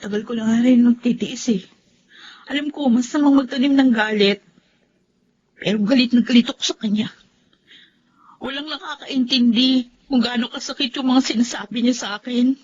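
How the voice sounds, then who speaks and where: A woman's voice: fairly high pitch, moderate pace, long pauses, darkish tone, breathy edge. A woman playing a long-suffering eighteen-year-old Filipina student in a melodrama, plaintive and aggrieved. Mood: bitter, weary. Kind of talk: melodramatic drama acting. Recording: dull, muffled lo-fi audio.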